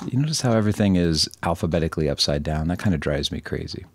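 A man presenting a casual screen-recorded software tutorial, thinking aloud in an informal, self-deprecating way. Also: sits low, lively intonation, fast, no room sound.

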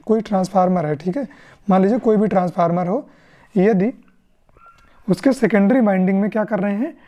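A woman's voice: mid-range voice, brisk, highs cut off. A woman with an Indian accent teaching exam questions step by step, explaining and often checking in with short tag questions. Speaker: electrician exam tutor. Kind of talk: question-and-answer lesson explanation.

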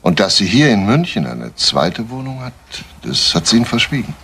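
Very deep-voiced, blunt police detective. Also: male voice, sing-song, moderate pace, fairly bright tone. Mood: probing, sober. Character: blunt, probing male police detective, matter-of-fact. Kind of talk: German crime radio play acting. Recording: noisy retro radio play recording.

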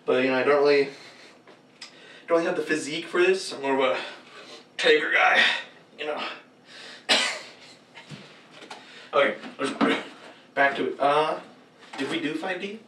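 A man's voice: a little high, normal speed, long pauses, bright, forceful tone, slight background noise. A young man in costume chatting casually and laughing at himself while he acts out moves in front of a green screen. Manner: giggly and self-mocking, chatty. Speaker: cosplayer filming fighting-game moves. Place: green screen room indoors. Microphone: far camera mic. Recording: echoey room, mic set far off.

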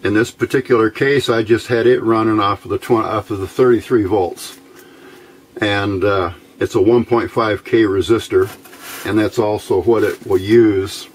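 A middle-aged man giving an informal, unhurried, step-by-step electronics demonstration as a hobby radio builder. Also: fairly low pitch, steady tone. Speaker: amateur radio builder presenting a project. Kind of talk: casual hands-on electronics demonstration. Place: indoor workbench.

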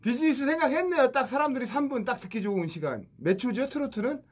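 A man's voice: very high voice, quick pace, husky. A man hosting a radio show, chatting casually and teasing his guest with questions. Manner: lively, joking, questions the guest.

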